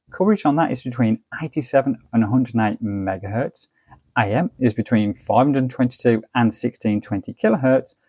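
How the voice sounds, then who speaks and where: A man's voice: medium pitch, darkish tone, no room sound. Man giving a casual, close dry product review. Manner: matter-of-fact, methodical explaining. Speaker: product reviewer and channel host.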